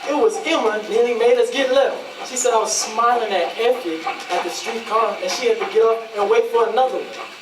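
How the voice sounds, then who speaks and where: A man's voice: extremely high pitch, fairly quick. Guy playing defensive put-upon man in a play, roomy, far from the mic. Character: put-upon, protesting Southern man accused of flirting. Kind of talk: readers theatre stage acting. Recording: echoey, distant, noisy ambience.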